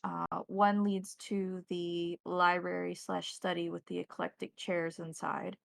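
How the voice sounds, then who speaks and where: A woman with a fairly low voice and a gravelly creak narrates as game master. She lays out scenes in measured, descriptive detail, recorded dry with no room sound. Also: little pitch movement, no echo. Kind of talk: narrating a tabletop roleplaying game.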